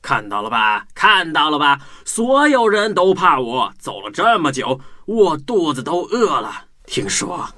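A man's voice: fairly high pitch, very animated, measured pace, few pauses, pushed and bright, exaggerated voice. A man playing a small, cunning, stammering mouse in a children's audio drama, with exaggerated delivery. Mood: sly, confident. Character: small clever timid-seeming mouse, cunning bluffer.

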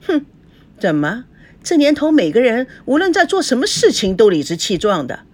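A woman's voice: on the high side, big pitch swings, normal speed, voice pushed up. A woman voicing a jealous, hot-tempered wife in an audiobook drama, sarcastic, accusing and scolding.

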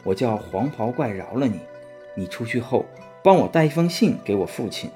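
A man voicing a gentle, pleading princess in a storybook, speaking quietly. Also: medium pitch, very animated, normal speed, soft background music, natural voice. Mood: soft pleading.

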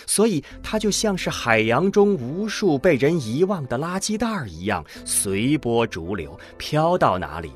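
A man narrating a scripted science fiction audio drama in a dramatic storytelling manner, landing phrase endings deliberately. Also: medium pitch, sing-song. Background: music under the voice.

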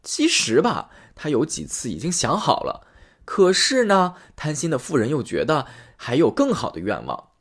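A man narrating a fairy tale for a storytelling podcast, lively and expressive. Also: fairly high pitch, moderate pace, slightly rough.

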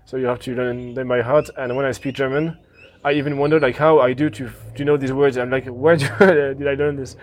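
A man whose first language is French, a guest on a casual podcast, speaking thoughtfully and pausing on fillers and restarts. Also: mid-range voice, steady tone. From France. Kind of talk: casual conversational interview.

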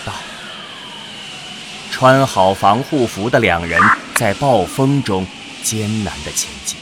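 A man reading scripted narration for a science fiction audio drama in a deliberate, dramatic way. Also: fairly low pitch, animated, background noise.

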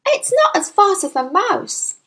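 A small, earnest girl from a children's storybook. The voice is very high and swoops with urgent emphasis. The delivery is breathless, bright-minded and pleading. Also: big pitch swings, unhurried, vocal fry, full character voice. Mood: eager, curious. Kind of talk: children's audiobook character voice.

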